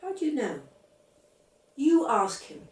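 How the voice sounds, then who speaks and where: A woman's voice: high voice, very animated, slow, recorded from a distance, a little hiss or hum, voice pushed up, heavily acted. A woman voicing an eager, insistent seven-year-old girl in a read-aloud story, earnest and bossy. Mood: persistent, hopeful. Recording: roomy, set back from the mic.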